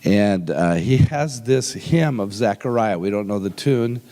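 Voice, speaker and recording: An older male pastor preaching with a cold that leaves his voice deep and rough. He talks in a folksy, joking way and slows right down for scripture. His voice rings out through a large, echoing church hall. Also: warm tone. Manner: folksy, humorous, slows down when reading scripture. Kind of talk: sermon. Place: church sanctuary with long reverb. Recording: reverberant hall sound.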